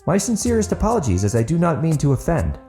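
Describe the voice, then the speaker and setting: A bold chieftain of a fantasy audiobook, played by a man, argues his case with formal, persuasive oratory. His voice is lifted in pitch and forceful, with faint music underneath. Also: wildly swooping pitch, fast, creaky, exaggerated voice. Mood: blunt, indignant. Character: outspoken diplomatic male chieftain, bold and persuasive.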